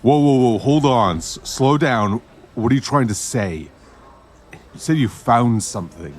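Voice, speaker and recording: An actor playing a guarded male investigator in an audio drama, deliberate and a little hesitant. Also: medium pitch, lively intonation, a little mellow, natural voice. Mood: alarmed. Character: steady, guarded male investigator protecting an informant.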